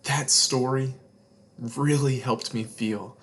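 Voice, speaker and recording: A man with a mid-range voice reads a picture book aloud for children. He takes his time, delivering each phrase earnestly and deliberately. He leans into key words for dramatic emphasis and lets the ends of phrases fall hushed. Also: medium pitch, fairly even intonation, a little hiss or hum. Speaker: read-along host reading children's books. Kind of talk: expressive children's story read-aloud.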